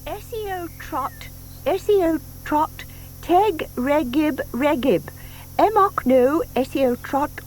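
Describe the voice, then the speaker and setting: A sweet older lady, with a high voice that rises and falls freely. She is hesitant, fluttery and trusting, unhurried, in a children's audio play on faintly hissy tape. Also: expressive, a little mellow. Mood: slow, careful. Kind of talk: dramatised children's audiobook acting. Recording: faint hiss from old cassette tape.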